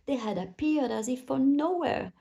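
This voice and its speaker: Mellow, fairly high-voiced woman storyteller. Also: normal speed, vocal fry, muffled recording. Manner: expressive storytelling for young children. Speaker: storybook narrator. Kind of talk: children's bedtime story read aloud.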